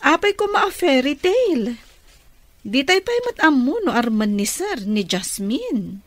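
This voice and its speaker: A woman's voice: high voice, very animated, unhurried, no room sound. A woman with an Ilocano accent playing an envious, gossipy office employee in a radio drama. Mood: envious, huffy.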